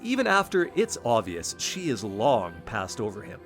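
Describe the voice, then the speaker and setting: A man's voice: mid-range voice, wildly swooping pitch, normal speed. A man giving earnest, scripted video essay narration about games in polished phrasing. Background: background music.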